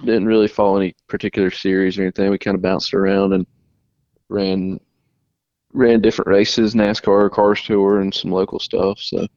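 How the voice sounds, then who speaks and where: Low-voiced young man. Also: dry recording, muffled recording. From North Carolina. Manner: relaxed, halting, many restarts. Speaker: race car driver guest. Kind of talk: casual interview answers.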